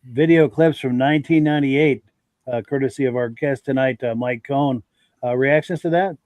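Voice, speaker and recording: Guy hosting a casual podcast, dry sound, middle pitch. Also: moderate pace, darkish tone, dry recording. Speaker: podcast host.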